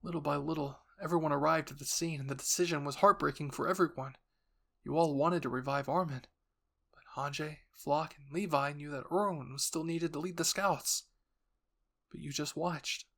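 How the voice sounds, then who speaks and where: A man's voice: a little high, fairly even intonation, moderate pace, vocal fry, pushed and bright. A man reading scripted, second-person dramatic story narration as a narrator and voice actor.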